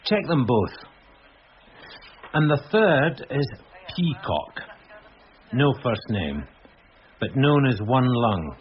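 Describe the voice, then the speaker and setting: Harried detective sergeant, animated pitch. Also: male voice, muffled recording, slight background noise. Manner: distracted, halting, dryly put-upon. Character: harried, dry-humoured male detective sergeant. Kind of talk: radio drama acting.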